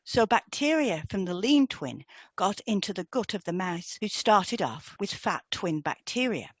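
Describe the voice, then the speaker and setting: A woman's voice: mid-range voice, very animated, a little slow, no room sound. Woman presenting a lesson, dry sound. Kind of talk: scripted educational narration.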